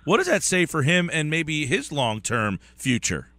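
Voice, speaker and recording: A man's voice: on the high side, sing-song, moderate pace, sharp tone, no room sound. A man hosting a sports talk radio show, asking the guest questions in a conversational way.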